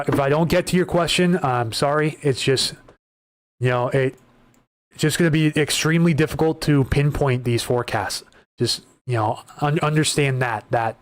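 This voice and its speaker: Slightly hoarse man hosting live weather coverage. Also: mid-range voice, no echo. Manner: conversational, repeats words and restarts while reading data. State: voice getting hoarse. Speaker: weather livestream host. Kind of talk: live weather coverage, reading maps and viewer questions.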